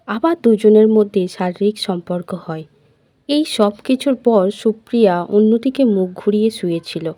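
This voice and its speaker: A woman's voice: mid-range voice, dark tone, dry recording. A woman reading a scripted love story as its narrator.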